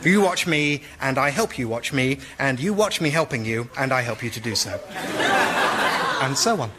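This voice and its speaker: A man's voice: medium pitch, expressive, normal speed, noisy background, natural voice. Man playing a matter-of-fact, helpful office worker. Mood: deadpan, helpful. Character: earnest male office worker explaining absurd rules. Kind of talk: radio sketch comedy acting.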